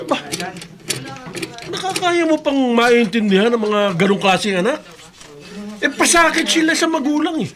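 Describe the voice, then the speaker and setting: High-voiced, nagging Filipino man. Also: animated, normal speed, long pauses, a crowd in the background. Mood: exasperated, scolding. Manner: nagging, colloquial, scolding. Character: blunt, exasperated friend of a struggling father. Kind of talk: Filipino radio drama acting.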